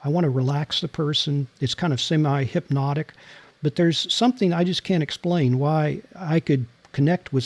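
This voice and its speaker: Interview guest: man, slightly high voice, calm and deliberate delivery, dry close sound. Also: no echo. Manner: measured, deliberate, soothing. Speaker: guest, former CIA officer and novelist. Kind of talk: interview answers.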